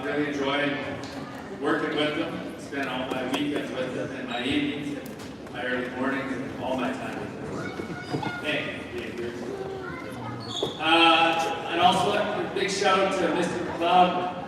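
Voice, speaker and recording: A man's voice: a little high, steady tone, unhurried, very noisy. A man, a head basketball coach giving an informal, joking senior night speech full of "uh" fillers over a PA microphone in a large school gym. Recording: echoey gym from far off with crowd.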